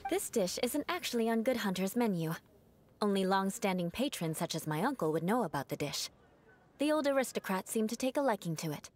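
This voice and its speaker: A woman's voice: mid-range voice, lively intonation, brisk, lightly acted. A woman voicing a haughty, vengeful young aristocrat in a video game, with poised, theatrical, formal diction. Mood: composed, proud.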